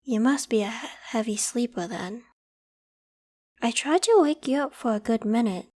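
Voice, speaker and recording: A woman voicing a polite, protective young female werewolf with a secret, in a gentle, reassuring ASMR roleplay. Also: on the high side, close to an everyday voice. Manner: gentle, reassuring, hesitant when evasive. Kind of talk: ASMR roleplay, acted one-sided conversation.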